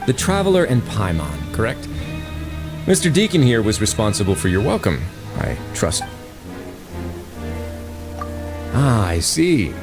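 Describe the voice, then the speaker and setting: An actor playing a composed, mysterious prison duke in a video game, speaking in a polite, deliberate, authoritative way over music. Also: male voice, fairly low pitch, huge pitch swings. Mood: cool, courteous. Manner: measured, polite, smoothly deliberate. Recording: music behind the voice.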